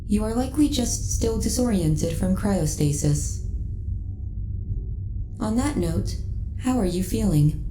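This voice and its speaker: A woman voicing a friendly, caring ship AI in a sci-fi ASMR roleplay, speaking in a measured, formal, precisely enunciated way. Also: mid-range voice, animated, mellow, echoey room, faint music behind. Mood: gentle, polite.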